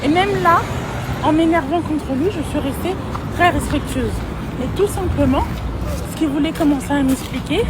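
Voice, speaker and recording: A high-pitched woman talks casually as a vlogger, recounting her day in a chatty, animated manner. Loud background noise of vehicles and street surrounds her voice. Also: normal speed. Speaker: vlogger and real estate agent. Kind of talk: casual vlog chat and storytelling.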